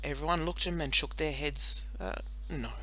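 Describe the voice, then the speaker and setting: A woman reading a children's story as an audiobook narrator, in an expressive, playful and dramatic storytelling manner. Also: deep voice, fairly quick.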